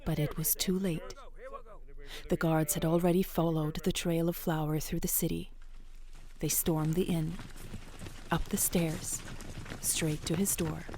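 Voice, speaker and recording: A woman narrating a dark fairytale in measured, suspenseful short phrases. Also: low-pitched, level tone, a little hiss or hum.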